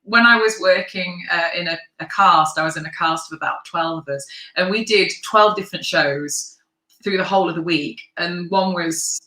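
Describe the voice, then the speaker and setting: A deep-voiced English woman talks freely as an interview guest, her tone bright and forceful despite the low register. She laughs easily and restarts her sentences as she goes. The recording carries a noticeable roomy echo. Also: normal speed. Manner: chatty, laughs often, many restarts. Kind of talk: conversational interview.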